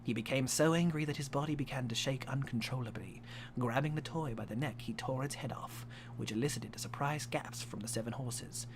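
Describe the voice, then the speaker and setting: A man's voice: mid-range voice, brisk, noisy background. A young man reading a fan story aloud for a live stream, hamming it up with swearing and laughing asides. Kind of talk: comic dramatic reading with asides. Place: home streaming setup.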